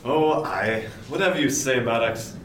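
A man's voice: medium pitch, a little slow, recorded from a distance, lightly acted. A man playing a jovial, superstitious older sailor in a seafaring radio drama, talking with colloquial yarn-spinning swagger. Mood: gruffly teasing. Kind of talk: period radio drama acting. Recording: roomy, off-mic, noisy ship ambience.

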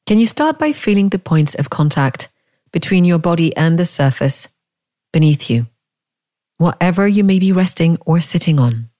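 A woman leading a guided meditation, speaking in gentle, inviting questions with long pauses between phrases. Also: on the low side, very animated, dry recording, clean studio sound. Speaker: meditation teacher.

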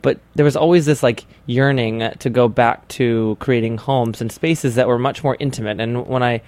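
A young American man from Hawaii telling his story as an interview guest, casually, with halting restarts. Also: mid-range voice, steady tone, darkish tone, no echo. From Hawaii, United States.

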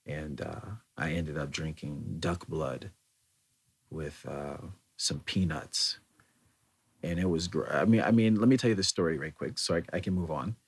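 A man's voice: rumbling low, a little slow, husky. A man casually telling a rambling personal anecdote, often correcting himself and chuckling as he goes. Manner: rambling, self-correcting storyteller.

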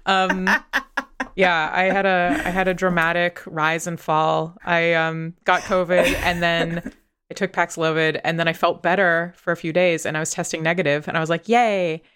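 A woman's voice: lowish voice, level tone, moderate pace, a bit bright, smooth. A young woman co-hosting a casual podcast chat, congested from a recent illness, laughing often and poking fun at herself. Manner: chatty, laughs often, self-deprecating. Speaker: podcast co-host.

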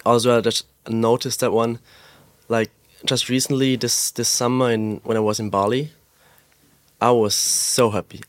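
A young man from Austria chats as a podcast guest, relaxed and loose, peppering his talk with "like" and "um". His pitch sits in the middle range and the sound is close and dry. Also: no echo. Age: young adult. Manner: relaxed, fills pauses with "like" and "um", laughs easily. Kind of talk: casual interview chat. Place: pop-up store.

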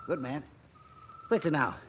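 A man's voice: a little high, unhurried, natural voice. An actor playing a roguish, wry male doctor in a vintage radio drama, droll and mock-formal in his delivery. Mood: brisk, conspiratorial. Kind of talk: 1940s radio drama acting. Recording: muffled, noisy vintage radio recording.

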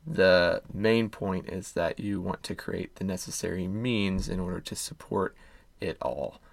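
A man hosting his own wellness podcast, slightly hoarse, upbeat and encouraging, with corny jokes and some thinking aloud. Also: deep voice, a little slow, no room sound. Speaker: podcast host. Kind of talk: casual solo podcast talk.

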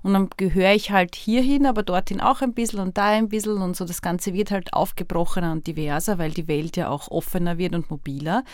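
A woman's voice: lowish voice, steady tone, fairly quick, few pauses, no echo, studio quality. A woman with an Austrian accent hosting a podcast, talking reflectively and searching for her words. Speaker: podcast host. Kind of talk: reflective conversational interview.

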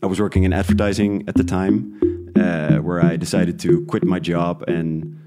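Guy with a really low voice, casual interview chat, bit hesitant, thinking out loud. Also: little pitch movement, normal speed, soft background music. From Netherlands. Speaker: interview guest, audio drama creator.

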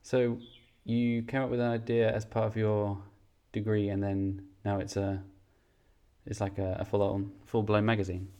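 A man's voice: on the low side, level tone, normal speed, mellow, clean tone, highs cut off. A man hosting a podcast, reading a scripted intro and then chatting with a guest, often restarting his questions. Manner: hesitant, many restarts when interviewing. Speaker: podcast host. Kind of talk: scripted intro and casual interview.

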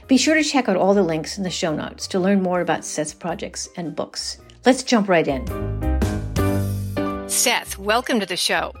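A woman's voice: medium pitch, expressive, normal speed, faint music behind. A woman hosting a podcast, asking prepared questions in a conversational interview style. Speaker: podcast host.

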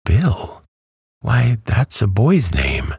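Man putting on a polite eager little girl from a storybook, pitched way up, breathy and rough underneath. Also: wildly swooping pitch, very slow, a little mellow, no room sound, clean studio sound, full character voice. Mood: earnest. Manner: earnest, well-mannered, eager.

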